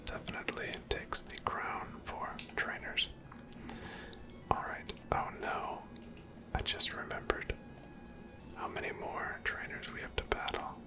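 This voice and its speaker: A person whispering softly and breathily, giving gentle, casual ASMR commentary while playing a video game. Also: measured pace, pauses often. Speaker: host of a gameplay series.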